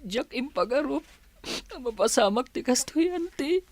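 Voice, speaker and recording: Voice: sits high, expressive, measured pace, dry recording, exaggerated voice. A performer with a Filipino Ilocano accent playing a remorseful, newly orphaned younger brother in a radio drama, plaintive and pleading. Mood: helpless, tearful.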